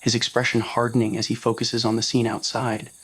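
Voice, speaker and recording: A man's voice: mid-range voice, completely flat delivery, a little fast, fairly bright tone, husky. A man reading a scripted romantic roleplay story as narrator, in an ASMR audio style.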